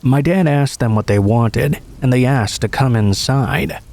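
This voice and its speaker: A man reading scripted first-person stories as a narrator, in a measured storytelling way. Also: medium pitch, a little mellow.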